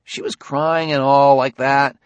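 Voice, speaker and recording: A man voicing an eager, whiny young boy who pleads in squeaky, childlike slang in an audiobook. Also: level tone, measured pace, smooth, no echo, natural voice. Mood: anxious, breathless.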